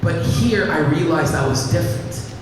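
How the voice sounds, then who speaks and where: A teenage boy from Iraq, raised in Lebanon, giving an earnest personal speech to an audience and telling his story at a measured pace. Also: fairly high pitch, a little hiss or hum. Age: teens. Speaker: student speaker. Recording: echoey hall, mic at a distance.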